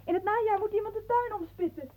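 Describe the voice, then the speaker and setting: An actress playing a gentle, inquisitive young niece in a radio play, speaking with innocent attentiveness. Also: female voice, very high-pitched, fast.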